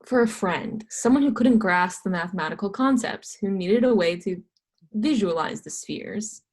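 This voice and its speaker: A woman's voice: medium pitch, lively intonation, moderate pace, darkish tone, close to an everyday voice. A woman playing a reluctant, ageing astronomer mentor, hesitant, terse and evasive, in a readers theatre stage reading. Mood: guarded.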